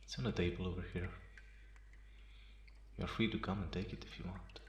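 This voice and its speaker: A man playing a calm, teasing half-vampire in an ASMR roleplay, pausing often and sounding softly amused and restrained. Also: sits low, natural voice. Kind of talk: slow ASMR roleplay monologue. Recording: roomy echo, faint background noise.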